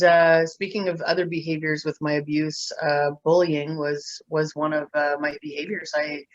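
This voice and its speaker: A middle-aged woman from Ontario tells her own story as an interview guest. She talks haltingly, with many fillers and restarts. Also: low-pitched, a bit bright, no echo, highs cut off. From Ontario, Canada. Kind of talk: candid personal storytelling.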